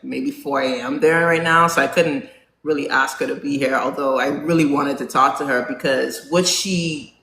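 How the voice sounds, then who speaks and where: A non-binary host in their forties chatting casually with a live audience, asking them questions and filling pauses with "um". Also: mid-range voice, bright, forceful tone. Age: 40s. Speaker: live talk show host. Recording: roomy echo, faint background hum.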